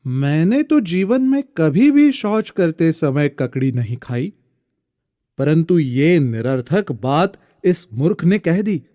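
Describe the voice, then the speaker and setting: A man voicing a jealous, suspicious king in an animated storybook tale, stern and brooding in manner. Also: fairly high pitch, slow, dry recording, studio quality. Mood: pondering. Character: proud king, jealous, suspicious and easily startled.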